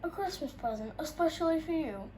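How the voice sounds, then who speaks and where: A gruff, kindly old-fashioned storybook badger, put on by a woman. He speaks emphatically, with clear noise in the recording. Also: high-pitched, lively intonation, fairly quick, voice pushed up. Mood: merry. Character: grumpy but kindly male badger.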